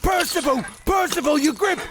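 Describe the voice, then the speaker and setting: A man's voice: very high-pitched, moderate pace. A man playing a vain, melodramatic talking slot machine in an old-time radio play parody, declaiming in a pompous, overwrought way. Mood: melodramatic urgency.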